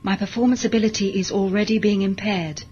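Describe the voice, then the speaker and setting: An obedient bionic servant, played by a woman, emotionless, formal and exact in a science fiction audio drama. Her pitch is average for a woman. The sound is slightly dull, with faint noise. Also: fairly even intonation, a little fast, a little mellow, close to an everyday voice. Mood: detached. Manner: formal, precise, detached.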